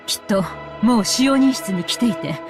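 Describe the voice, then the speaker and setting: A woman's voice: mid-range voice, animated, fast talker, music in the background, natural voice. An actress playing a proper, formal middle-aged lady of a wealthy family in a Japanese visual novel. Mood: composed. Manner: polite, composed, formal.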